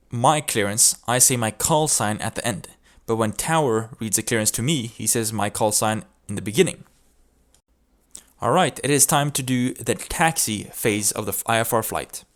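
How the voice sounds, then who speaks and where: A man presenting a tutorial, explaining procedures step by step in an instructional narration. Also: medium pitch. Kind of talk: instructional video narration with demonstrated radio calls.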